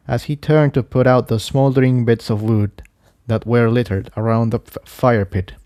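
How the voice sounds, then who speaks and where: A man narrates a scripted adventure tale. His tone is mellow and rounded, with a middling pitch. The recording is dry, with almost no room around the voice. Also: no room sound. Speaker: fan fiction narrator. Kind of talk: scripted audiobook-style story narration.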